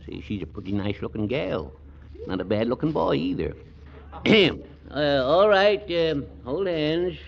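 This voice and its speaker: A man's voice: a little high, big pitch swings, measured pace, gravelly, muffled recording, heavily acted. An actor playing a bumbling male judge who fumbles through a wedding with chuckles and hesitant "uh"s, in a 1940s radio comedy. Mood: flustered.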